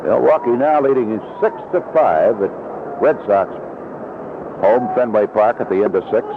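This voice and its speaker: A man's voice: lowish voice, normal speed. Baseball announcer, man, vintage radio. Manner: descriptive, short clipped calls. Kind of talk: live radio sports commentary. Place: broadcast position at an outdoor ballpark. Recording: narrow-band vintage radio broadcast, noisy.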